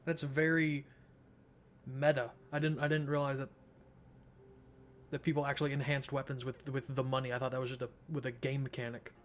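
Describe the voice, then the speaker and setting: A man's voice: mid-range voice, level tone, brisk, long pauses, slight background noise. A man giving casual let's play commentary as he streams a game. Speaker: streamer, gameplay host.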